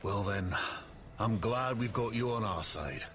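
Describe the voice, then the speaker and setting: A man's voice: lowish voice, animated, unhurried, a bit bright, slight background noise. A man voicing a plainspoken, loyal town militiaman in a video game. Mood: relieved, worried.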